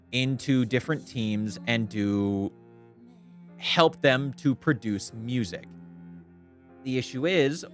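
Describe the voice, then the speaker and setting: A man streaming, chatting casually and candidly with a clear, smooth voice, rambling and swearing freely. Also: medium pitch, a bit bright. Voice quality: clear voice without gravel. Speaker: VTuber streamer. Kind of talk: casual livestream chat.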